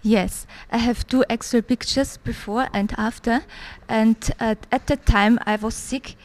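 A woman in her thirties from Austria, a native German speaker with an Austrian German accent, giving a hesitant testimony in English with many pauses and fillers. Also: a little high, steady tone. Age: 30s. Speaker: church visitor giving testimony. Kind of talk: interview testimony.

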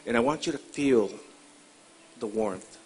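A man's voice: mid-range voice, unhurried, darkish tone, a little hiss or hum, rough recording. A man from Puerto Rico in the congregation, hesitant at first and then earnest as he shares a word. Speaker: congregation member. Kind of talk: sharing a prophetic word.

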